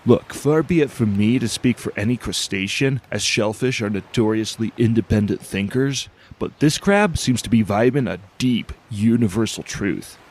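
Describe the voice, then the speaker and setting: Husky male stoner food-truck philosopher, acted by a man, lowish voice, grandiose rambling. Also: moderate pace, hardly any pauses, a little mellow, natural voice. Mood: mock-profound. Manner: rambling, grandiose, mock-profound. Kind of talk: audio drama character acting.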